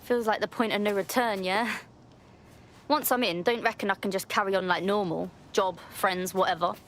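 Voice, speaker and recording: A woman's voice: medium pitch, steady tone, normal speed, a little hiss or hum, natural voice. A woman voicing a blunt, colloquial young British rebel recruit in a video game. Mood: hesitant. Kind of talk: video game cutscene acting.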